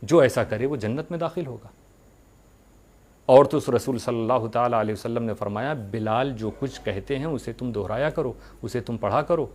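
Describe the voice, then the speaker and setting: A man giving a religious sermon, speaking earnestly to his followers with rhetorical questions and deliberate pauses. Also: mid-range voice, darkish tone.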